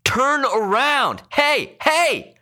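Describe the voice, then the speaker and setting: A man's voice: extremely high pitch, very animated, extremely slow, pitched above the performer's own voice, full character voice. A man acting out a furious, panicking male passenger. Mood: furious shouting. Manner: shouting, pleading, exasperated. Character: angry, panicking male airline passenger, foul-mouthed.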